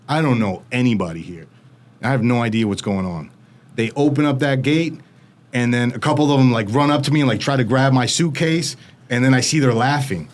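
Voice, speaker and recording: Animated American man retelling a story. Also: mid-range voice. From United States. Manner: fast, animated retelling with quoted voices. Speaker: interview guest. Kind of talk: casual storytelling.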